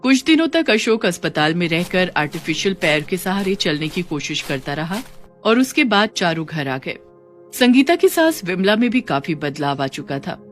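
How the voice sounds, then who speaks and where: A woman's voice: on the low side, sing-song, normal speed, soft background music. A woman reading scripted narration for a moral story.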